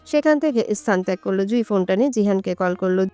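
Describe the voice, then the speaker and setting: Clear-voiced woman narrating, close and dry. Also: mid-range voice, expressive, fast talker, warm tone, no echo, soft background music. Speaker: storyteller. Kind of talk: scripted narration of romance story.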